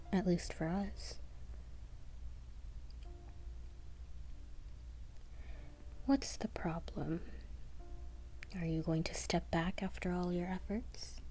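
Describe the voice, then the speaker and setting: A woman acts out a scheming mafia femme fatale in an audio roleplay. She speaks in a low, dark, breathy half-whisper, close to the mic, drawing her words out slowly with teasing, cold-blooded poise. Music plays faintly behind her. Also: close to an everyday voice. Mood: callous, persuasive. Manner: slow, teasing, coolly callous. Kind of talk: intimate whispered roleplay acting.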